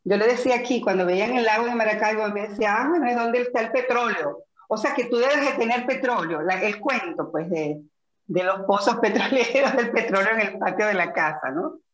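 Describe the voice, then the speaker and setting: A woman's voice: medium pitch, fairly quick. A middle-aged woman from Venezuela with a Zulia accent, thoughtfully answering interview questions with frequent fillers and restarts. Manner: reflective, many fillers and restarts. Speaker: interview guest. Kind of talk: conversational interview. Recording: echoey, dull lo-fi audio.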